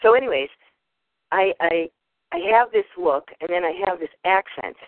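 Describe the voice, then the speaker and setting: A woman's voice: lowish voice, lively intonation, moderate pace, no echo. A woman with a Boston accent, calling in as a guest and chatting in an upbeat way. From United States. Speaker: guest caller. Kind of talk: casual call-in chat. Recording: tinny phone line, dry.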